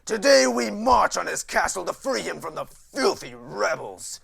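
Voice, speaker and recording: A man voicing a gruff, zealous pony army commander in a storybook reading, rough and strained, barking in a commanding military manner. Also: high voice, sing-song, measured pace, a crowd in the background, pitched above the performer's own voice, full character voice. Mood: stern, commanding. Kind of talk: audiobook character voice, military storybook.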